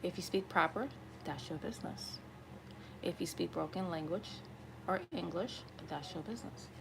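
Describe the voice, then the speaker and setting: A woman with a slightly raspy voice giving an improvised tarot reading to viewers, conversational and often checking in with listeners. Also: lowish voice, normal speed, background noise. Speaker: tarot reader hosting an online collective reading.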